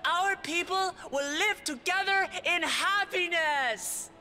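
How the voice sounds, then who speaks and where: Bright-voiced, earnest young lion-woman warrior. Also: very high voice, slow, faint music behind, heavily acted. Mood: hopeful, resolute. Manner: earnest, heartfelt, reflective. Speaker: video game character. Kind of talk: voiced game cutscene dialogue.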